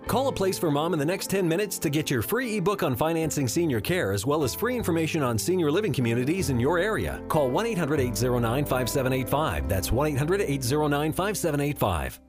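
A male announcer with a fairly high voice reads a radio ad's call to action clearly and emphatically. His pitch moves in a lively way. Clear music plays underneath. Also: quick pace, hardly any pauses. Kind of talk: radio advertisement.

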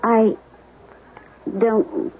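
Middle-aged wife with a wry blunt way of talking, old radio drama, tinny lo-fi sound w music behind. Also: female voice, a little high, very animated, very slow, heavily acted. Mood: hurt, bitter. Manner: wry, plain-spoken, emphatic. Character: frank, wounded middle-aged wife, former chorus girl. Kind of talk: 1970s radio drama acting.

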